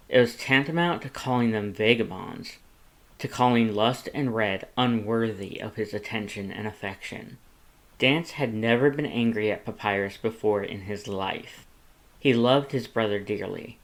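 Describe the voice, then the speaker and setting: A man reading fan fiction aloud as audiobook narration, with even, steady storytelling. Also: on the low side.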